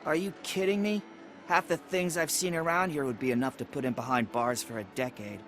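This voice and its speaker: High-voiced cheeky teen boy. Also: steady tone, brisk, a bit bright. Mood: indignant. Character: cheeky, dismissive teenage truant arcade boy. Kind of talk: video game cutscene acting.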